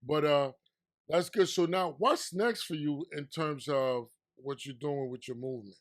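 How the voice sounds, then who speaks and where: Animated New York man hosting. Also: measured pace. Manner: animated, hype, swings between loud excitement and low confiding tone. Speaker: podcast host and DJ. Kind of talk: casual interview chat.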